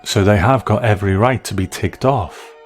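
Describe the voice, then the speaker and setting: A man with a very low voice narrates a scripted critical essay. His delivery is measured and analytical, and music plays faintly behind him. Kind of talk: scripted video essay commentary.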